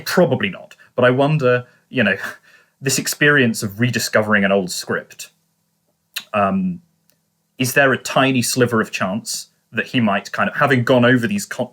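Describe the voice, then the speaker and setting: Male co-host, slightly deep, crisp bright tone, conversational, hesitant, speculative, hedging. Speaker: podcast co-host. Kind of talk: casual discussion.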